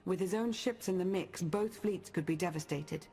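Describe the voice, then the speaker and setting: A woman playing a cautious, commanding strategist in a video game, speaking with measured, precise authority. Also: on the low side, mellow, soft background music, close to an everyday voice. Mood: focused, brisk. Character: cautious, commanding female strategist, brisk and composed. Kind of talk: video game cutscene acting.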